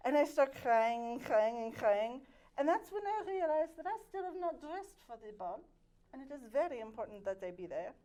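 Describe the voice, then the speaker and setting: A woman's voice: high-pitched, very animated, moderate pace, warm tone, lo-fi sound. A woman playing a vain aristocratic lady with a French accent, telling a dramatic story with many fillers. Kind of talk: improvised comedy scene.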